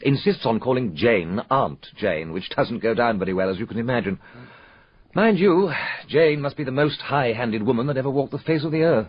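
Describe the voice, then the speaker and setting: An actor playing a troubled, well-mannered gentleman in a radio drama, speaking in a polite, confiding, clipped way. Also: mid-range voice, animated, normal speed, breathy edge, dry recording. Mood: gossipy, intense. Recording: dull, roomless lo-fi radio recording.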